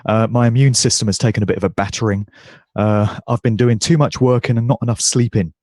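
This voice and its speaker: A man giving a talk as a guest speaker, congested and croaky but speaking fluently over a video call. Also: lowish voice, steady tone, a little fast, a little mellow, dry recording, studio quality. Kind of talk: presentation.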